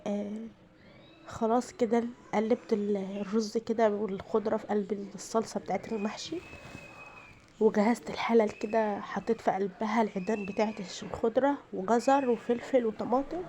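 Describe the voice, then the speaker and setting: A woman's voice: mid-range voice, steady tone, fairly quick, mellow, slight background noise. A tired woman with a rural Egyptian accent chats through her home cooking, explaining each step to her viewers. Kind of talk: casual cooking narration. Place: home kitchen.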